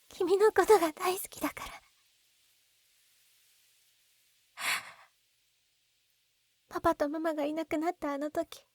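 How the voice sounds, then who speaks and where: A woman acts out a gentle, lovesick young woman in a binaural audio drama. Her voice is high and breathy, often slipping toward a whisper. It is recorded very close and dry, with emotional, intimate delivery. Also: no room sound. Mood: desperate, pleading. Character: lovesick young woman, gentle, tearful, pleading with childhood friend. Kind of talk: Japanese ASMR-style situation voice roleplay. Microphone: close binaural dummy-head microphone.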